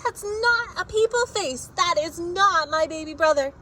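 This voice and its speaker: A woman acts out a skeptical little storybook girl with a high, swinging voice. She is observant and emphatic, stretching out her words as she makes her point. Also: animated, bright, forceful tone, a little hiss or hum, exaggerated voice. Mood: indignant, emphatic. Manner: emphatic, declarative, drawn-out objections.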